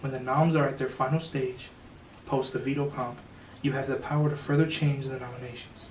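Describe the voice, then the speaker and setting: A man's voice: mid-range voice, flat delivery, fairly quick. A young man hosting the show, casually explaining a twist and reading a secret message with many fillers. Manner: laid-back, filler-heavy, jokey. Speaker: host. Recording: echoey room, slightly distant, faint noise.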